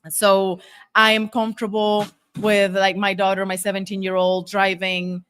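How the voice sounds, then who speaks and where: A woman's voice: mid-range voice, completely flat delivery, moderate pace, a bit bright, smooth. A middle-aged woman from Monterrey, Mexico, a native Spanish speaker, telling her story casually and emphatically as an interview guest. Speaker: guest, taco shop owner.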